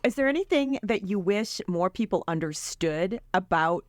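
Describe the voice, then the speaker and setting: A woman hosting a podcast, asking measured, formal interview questions. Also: mid-range voice, expressive, dry recording, studio quality. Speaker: podcast host. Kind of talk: reading prepared interview questions.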